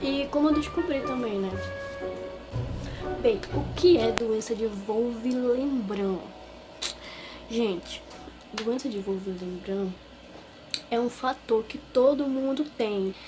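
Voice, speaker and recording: A woman's voice: a little high, slow, pauses often, low-quality recording. Chatty Brazilian woman over background music. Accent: Brazilian Portuguese. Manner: chatty, rambling, addresses viewers directly with frequent fillers. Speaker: vlogger. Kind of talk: casual personal storytelling to camera. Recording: clear background music under the voice.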